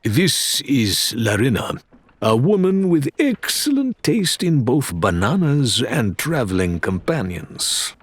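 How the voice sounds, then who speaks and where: A man's voice: medium pitch, big pitch swings, slow, husky, no room sound, pitched above the performer's own voice, full character voice. A man voicing an easygoing, wry pirate crewman in an audiobook, with formal, wordy phrasing. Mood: courteous.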